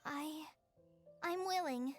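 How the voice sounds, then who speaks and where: A gentle, humble young girl from a video game, with an extremely high voice. She talks earnestly and hesitantly, a little timid. Music plays underneath. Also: slow. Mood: hesitant, worried. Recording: music behind the voice.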